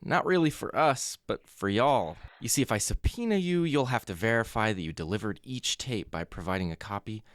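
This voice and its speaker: Low-voiced man playing a shrewd small-town lawyer. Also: expressive, dry recording, lightly acted. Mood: smoothly persuasive. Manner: polite, measured, quietly persuasive. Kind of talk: naturalistic radio play acting.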